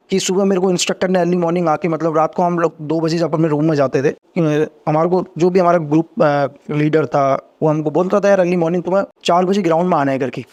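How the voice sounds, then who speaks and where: Fast-talking man recounting service life. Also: high-pitched, darkish tone. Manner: rapid, earnest, repeats phrases, rising energy when explaining. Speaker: podcast guest, former intelligence agent. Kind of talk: casual interview storytelling.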